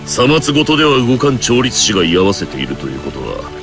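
A man's voice: medium pitch, big pitch swings, fast talker. An actor voicing a proud, authoritative warrior king in a Japanese fighting game, declamatory and stern. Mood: grave. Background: background music.

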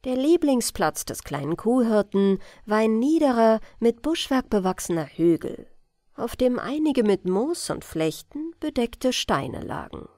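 A woman reading a fairy tale aloud as a bedtime audiobook, calm and gentle in her storytelling. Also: a little high, unhurried, dry recording, studio quality. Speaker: audiobook reader.

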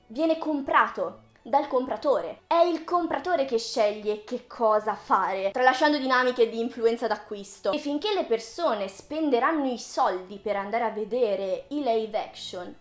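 A woman's voice: a little high, a little slow, few pauses, faint music behind. A woman in her early twenties talking casually to her viewers in a video essay, with playful, ironic asides. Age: early 20s. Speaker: video creator, host. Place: small room with bookshelves, indoors. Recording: roomy indoor echo.

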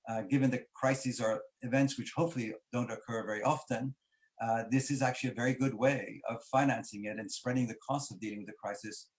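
An older man, a board chair, explaining a financing idea on a video call from his home study, deliberate and given to repeating words as he builds each point. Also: medium pitch, poor audio. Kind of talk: measured expert explanation.

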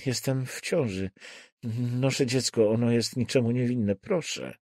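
A man's voice: medium pitch, a little slow, a little mellow, dry recording, close to an everyday voice. A man voicing a frightened pregnant young woman in an audiobook thriller, pleading in a tearful, stammering way.

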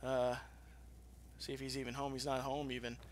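A man's voice: medium pitch, completely flat delivery, moderate pace, slight background noise. A man hosting a gaming channel, giving casual, rambling let's-play commentary with informal, sweary talk. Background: game music.

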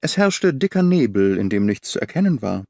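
A man reading the part of a sharp-witted detective reasoning aloud in an audiobook. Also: lowish voice, big pitch swings, a little fast, gravelly, no room sound, clean studio sound, pitched below the performer's own voice.

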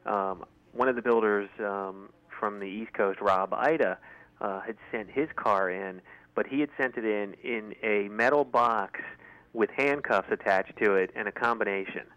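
A man's voice: lowish voice, measured pace, a bit bright, smooth, muffled recording. A man who is a guest on a radio show, talking about his work with enthusiasm and many fillers. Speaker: guest, event organizer. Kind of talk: casual radio interview. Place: radio studio.

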